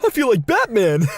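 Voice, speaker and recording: A man's voice: extremely high pitch, creaky, breathy edge, exaggerated voice. A man playing an eager, cocky teenage schoolboy and would-be hero in a manga dub, exclaiming his lines.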